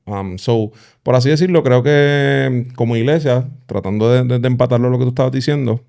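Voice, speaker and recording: A man with a Puerto Rican accent, co-hosting a casual talk about church and culture, quick and lively, sometimes slipping in English words. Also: mid-range voice, creaky. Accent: Puerto Rican Spanish. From Puerto Rico. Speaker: podcast co-host.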